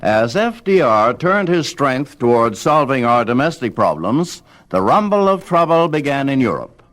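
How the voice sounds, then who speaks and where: A man delivering scripted documentary narration in a measured, dramatic storytelling manner. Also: mid-range voice, very animated, fairly bright tone. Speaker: narrator of a radio history album.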